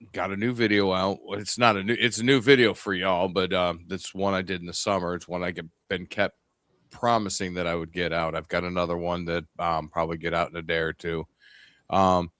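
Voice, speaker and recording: A man's voice: sits low, fairly bright tone, no echo, studio quality. A man with an upstate New York accent chatting casually on a ham radio show, rambling along with plenty of ums and restarts. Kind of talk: casual chat.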